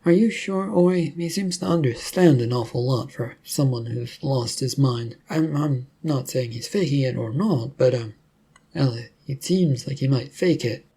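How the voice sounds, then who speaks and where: A man voicing a brisk, authoritative female officer in a storybook, questioning in a firm, commanding way. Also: medium pitch, dark tone, husky. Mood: doubtful.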